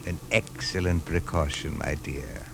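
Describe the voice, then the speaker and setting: An actor playing a menacing, courtly foreign villain, formal and commanding, in a 1950s radio drama style. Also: male voice, rumbling low, fairly even intonation, unhurried, vocal fry, full character voice. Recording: noisy 1950s radio broadcast recording.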